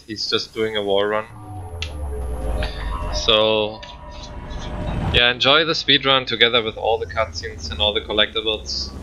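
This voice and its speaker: A man's voice: fairly low pitch, sharp tone, slight background noise, poor audio. A man giving casual, rambling gameplay commentary in hesitant, non-native English. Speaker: speedrunner commentator.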